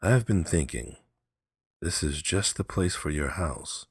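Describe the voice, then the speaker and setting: A man voicing a practical, persuasive male friend in a storybook reading, calm and coaxing. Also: very deep voice, a little slow, gravelly, slightly rough, no room sound, studio quality, exaggerated voice. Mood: reassuring, urging.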